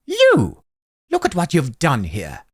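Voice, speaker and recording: Indignant storybook wife, voiced by a man: raised high pitch, commanding, fretful, exclaiming. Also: huge pitch swings, takes it slowly, fairly bright tone, slightly rough, no echo, clean studio sound, full character voice. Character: worried, bossy wife and shopkeeper, indignant.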